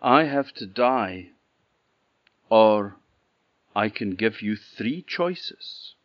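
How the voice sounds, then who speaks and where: A man's voice: fairly low pitch, expressive, extremely slow, dry recording. A man voicing a wise young princess who speaks solemnly and pleadingly, in a storybook telling. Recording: dull lo-fi recording.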